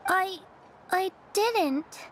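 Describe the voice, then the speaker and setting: Timid small girl, game role: stammering, extremely slow, very high-pitched, faint ambient noise. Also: animated, a bit bright, full character voice. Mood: timid, guilty. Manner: halting, stammering, meek. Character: timid, sickly little girl. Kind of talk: scripted video game voice acting.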